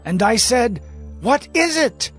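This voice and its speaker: Audio Bible prophet: high voice, very animated, earnest and declaiming, recounting visions in awe. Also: male voice, takes it slowly, soft background music, exaggerated voice. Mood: awed.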